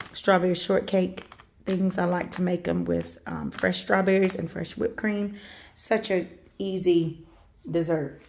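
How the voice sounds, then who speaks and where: Slow-talking gravelly woman. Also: fairly low pitch, slight background noise. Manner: relaxed, rambling, many "um"s while listing items. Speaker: vlogger showing a grocery haul. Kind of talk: casual show-and-tell narration. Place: home kitchen, indoors.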